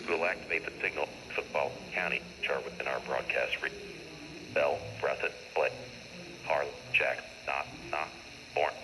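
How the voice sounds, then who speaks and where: A man's voice: very deep voice, noisy background. A man reading a scripted public service announcement in a formal, robotic way over a staticky weather radio.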